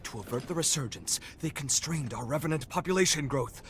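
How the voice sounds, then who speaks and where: Casting: male actor for vain, theatrical undying scholar in a video game; high voice, very animated delivery, florid and lecturing. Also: fairly quick, background noise, lightly acted. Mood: dramatic lecturing. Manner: pompous, florid, dramatic, lecturing. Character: flamboyant, grandiose male immortal revenant scholar, theatrical and self-important. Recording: game music behind voice. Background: game music.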